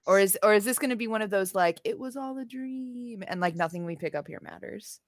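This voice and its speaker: A woman's voice: medium pitch, fairly quick, no echo, studio quality. A woman playing a tabletop game, chatting casually and a little tentatively in informal phrases. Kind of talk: casual chat during a game.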